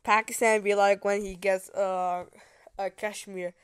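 A woman chatting casually while playing a strategy game with a friend, excitable and joking, often tripping over her words. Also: medium pitch, unhurried, clear, resonant tone. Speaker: gamer streaming with a friend. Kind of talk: casual gaming chat.

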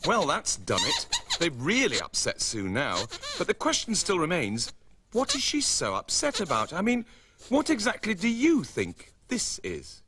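Puppet-show presenter, very animated, friendly, flustered, explanatory, noisy recording. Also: male voice, high voice, a little slow, exaggerated voice. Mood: puzzled. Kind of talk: children's puppet show acting.

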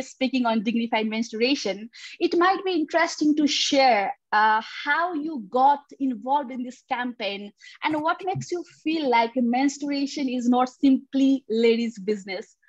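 Higher-voiced woman on dull, dry call audio. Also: moderate pace, dry recording. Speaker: moderator. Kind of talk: moderating a webinar panel. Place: home room on a video call.

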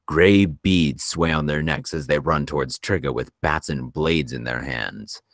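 A man's voice: rumbling low, measured pace, no room sound, clean studio sound. A man reading screenplay stage directions aloud as scripted narration. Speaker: screenplay narrator at a table read.

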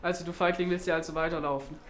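A young man plays a hostile young bully who fires off taunts at a rapid clip. His voice sits a little high for a man. The audio is echoey with noticeable background noise. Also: level tone, a bit bright, lightly acted. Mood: taunting. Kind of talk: amateur short film acting.

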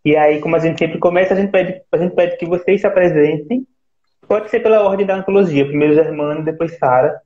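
A man's voice: a little high, fairly quick. A man, an editor, hosting a casual live chat about anthologies over a video call. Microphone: laptop or phone on a video call. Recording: roomy, dull video-call audio.

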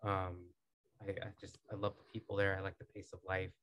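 Podcast guest, man in his thirties, low voice, quick and articulate, studio boom mic. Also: completely flat delivery, a bit bright. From United States. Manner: measured, articulate, many "um"s and restarts. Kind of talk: casual interview talk. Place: small acoustic-foam podcast studio.

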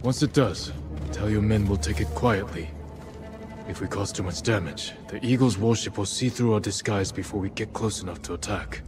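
A disciplined samurai from a video game cutscene, acted by a man. His voice is fairly low, and he talks in a measured, serious, commanding way, with music playing behind him. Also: natural voice. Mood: serious, strategic. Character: stoic, disciplined adult male samurai warrior.

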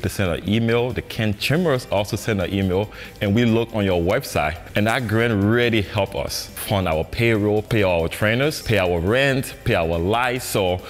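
A man in his thirties with a Liberian accent and a deep voice talks earnestly about his gym. He often ends phrases with "right?", recorded on a lapel mic in a large indoor gym with faint music behind. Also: moderate pace, few pauses. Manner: earnest, frequent "right?" tags. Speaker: gym owner and trainer. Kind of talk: interview answers.

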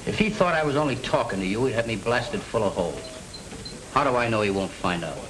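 An actor playing a defiant, nervous crook in a 1930s gangster film. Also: male voice, mid-range voice, expressive, fast talker, crowd noise, natural voice, low-quality recording. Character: defiant, nervous male crook, a paid false witness. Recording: old 1930s film soundtrack, music bleeding in.

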